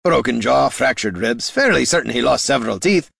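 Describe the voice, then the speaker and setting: A man voicing a gruff, brawling male dwarf warrior with a blunt, unbothered way of talking, in a fantasy audiobook. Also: medium pitch, lively intonation, a little fast, fairly bright tone, no echo, clean studio sound, voice pushed up, exaggerated voice.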